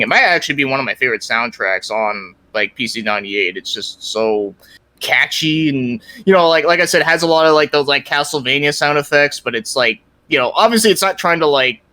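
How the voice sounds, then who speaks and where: A man's voice: medium pitch, bright, forceful tone, no room sound. A young man chatting casually as a podcast guest about his interests, enthusiastic, slangy and rambling. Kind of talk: casual gaming podcast chat.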